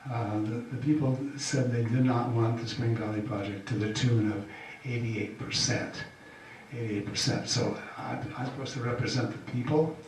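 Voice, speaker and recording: An older man, an incumbent county official, giving a halting, folksy campaign speech full of fillers. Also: mid-range voice, steady tone. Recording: echoey hall from far off, faint hiss.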